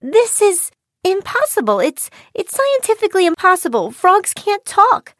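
A woman voicing a curious, excitable young girl who exclaims and asks eager questions in a children's story. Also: very high-pitched, unhurried, no echo, studio quality, pitched above the performer's own voice, exaggerated voice. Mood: astonished.

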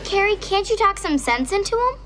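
Sitcom daughter: very high, lively voice, sassy and scheming, over noisy studio audience. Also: female voice, fairly quick, crowd noise. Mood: pleading. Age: child. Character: scheming, sassy young twin girls pleading with dad.

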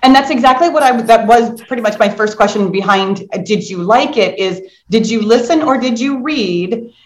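A woman hosting a casual live book discussion, talking in an animated, conversational way and inviting the group to join in. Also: on the high side, moderate pace. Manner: animated, conversational, encouraging audience participation. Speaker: book club host. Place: indoor room on a group video call. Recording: roomy echo.

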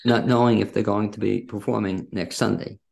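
Very creaky-voiced man hosting a casual interview. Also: fairly low pitch, fairly even intonation, brisk, a little mellow. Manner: rambling, circling toward his questions. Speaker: interview host.

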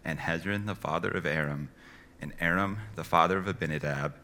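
A man reading a long genealogy from scripture in a slow, steady recitation. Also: deep voice. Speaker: scripture reader.

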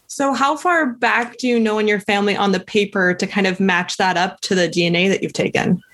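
A woman hosting an interview, reading a guest introduction and asking polite, measured questions. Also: mid-range voice, steady tone, a bit bright. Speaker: interview host.

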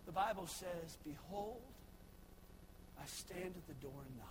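A young man preaching a sermon, swinging from shouted exhortation to soft, folksy asides. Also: high-pitched, animated, unhurried, background noise. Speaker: pastor.

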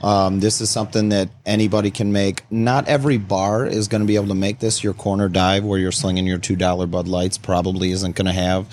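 A man with a fairly low voice and an American accent chats as a guest on a talk show. He explains things informally and easily, like a bartender walking people through it, adding casual asides. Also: level tone, fairly quick, few pauses, darkish tone, slight background noise.

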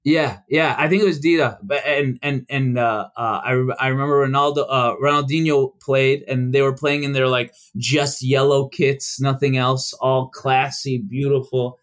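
A young man from Chicago, a podcast guest, telling stories in a casual, halting way with many restarts and fillers. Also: mid-range voice, fairly even intonation. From United States.

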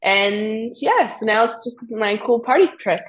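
A woman's voice: a little high, animated, unhurried, slightly rough. A young American woman chatting casually as a podcast guest and explaining things from her own life in a conversational way. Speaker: podcast guest, fitness coach.

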